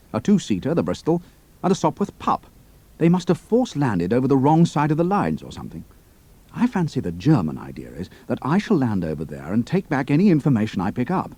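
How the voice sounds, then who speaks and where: An actor playing a cool, capable young pilot and spy, speaking in a clipped, matter-of-fact way. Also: male voice, medium pitch, warm tone, slightly rough, no echo, full character voice. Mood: matter-of-fact recounting.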